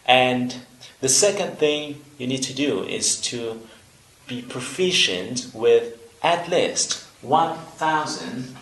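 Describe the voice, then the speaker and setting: Slow, careful Vietnamese-accented male teacher. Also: mid-range voice, animated, fairly bright tone, roomy sound, slight background noise, poor audio. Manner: careful, deliberate explanation with pauses and fillers. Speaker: English teacher. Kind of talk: slow instructional video lesson.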